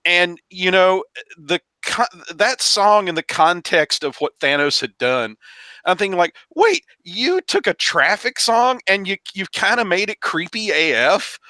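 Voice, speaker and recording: A man on a podcast panel discussing films casually, haltingly, repeating phrases as he thinks. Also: sits high, very animated, pushed and bright, dry recording.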